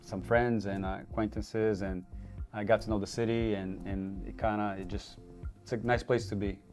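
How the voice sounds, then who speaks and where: A man from Brazil, interviewed in a co-working space, talking in a relaxed, hesitant way. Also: lowish voice, normal speed, faint music behind. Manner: hesitant, relaxed, with fillers and restarts. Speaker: interview guest. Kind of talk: casual interview.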